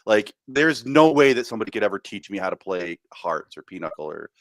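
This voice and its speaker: A man's voice: on the low side, big pitch swings, a little fast, dry recording. A man co-hosting a podcast in casual chat, hesitant and often repeating words as he thinks aloud. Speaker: podcast co-host.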